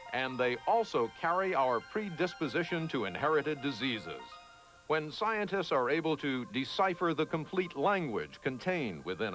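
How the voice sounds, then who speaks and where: A man reading scripted narration for a television news special in a measured, emphatic way. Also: on the high side, a bit bright, low-quality recording. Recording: 1980s television on VHS, music underneath. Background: background music.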